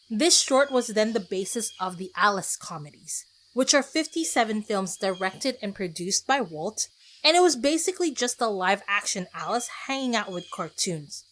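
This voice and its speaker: A woman's voice: medium pitch, fairly bright tone. A woman podcast host narrating a casual scripted solo episode in a chatty, self-deprecating way with conversational asides.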